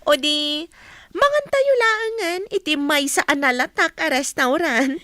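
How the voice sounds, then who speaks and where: A woman acting an excited, adoring young daughter in a radio drama, gushing and playful. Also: high-pitched, a little slow, no room sound, clean studio sound, exaggerated voice.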